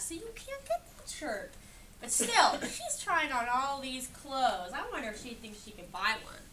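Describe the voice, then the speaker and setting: High, lively American woman reading to a child. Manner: chatty, encouraging, repeats the listener's answers. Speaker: picture-book reader with a child. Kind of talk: interactive read-aloud with questions and echoed answers. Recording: noisy room, distant microphone.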